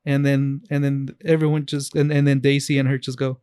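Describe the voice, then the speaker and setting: A man's voice: mid-range voice, little pitch movement, brisk, mellow, clear, resonant tone, no echo. A man co-hosting a podcast, chatting casually in a playful, rambling way on a close mic. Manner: playful, rambling, talks over others. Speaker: podcast co-host. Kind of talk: casual chat.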